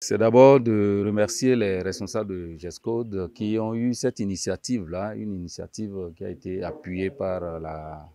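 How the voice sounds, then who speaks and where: A man's voice: low-pitched, steady tone, moderate pace, hardly any pauses, mellow. A man from Benin with a Beninese accent, a mayor, gives a formal interview statement.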